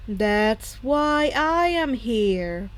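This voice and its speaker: A woman voicing a steady male detective in a story, matter-of-fact and measured. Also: fairly high pitch. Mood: calm, factual.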